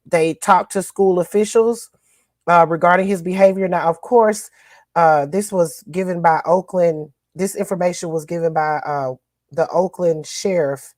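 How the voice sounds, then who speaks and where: A woman's voice: on the low side, a little mellow, no echo, clean studio sound. Chatty woman recapping news. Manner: chatty, folksy, many fillers and restarts. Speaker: co-host. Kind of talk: casual podcast chat, recapping news.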